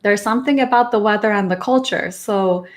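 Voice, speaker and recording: A woman's voice: mid-range voice, fairly quick. A young woman from Iran, an immigration lawyer, talking casually as an interview guest, laughing often and restarting her sentences.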